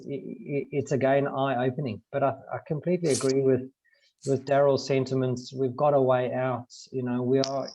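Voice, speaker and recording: A man's voice: medium pitch, mellow, muffled recording. A South African man in his forties reflecting on a panel over a video call with earbuds, often repeating words as he thinks. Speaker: business panelist. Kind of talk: online panel discussion.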